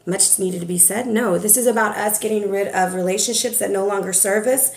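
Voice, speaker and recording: A woman's voice: medium pitch, brisk, creaky. Sassy woman with a roomy echo. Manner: sassy, chatty, playful. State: hoarse, recovering from bronchitis. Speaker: tarot reader. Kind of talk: casual tarot forecast. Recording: echoey room.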